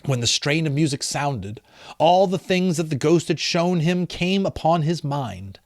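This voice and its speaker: A man's voice: a little high, lively intonation, measured pace, dry recording, studio quality. A middle-aged man giving a dramatic, emphatic storybook narration, with casual explanatory asides. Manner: theatrical, emphatic, breaks off to explain. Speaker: amateur audiobook reader.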